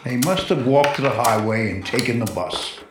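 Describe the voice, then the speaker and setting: An actor playing a nervous, excitable house owner with a stammering, agitated manner in a 1940s radio drama. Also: male voice, mid-range voice, moderate pace, noisy background, lightly acted. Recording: roomy 1940s radio recording, music behind.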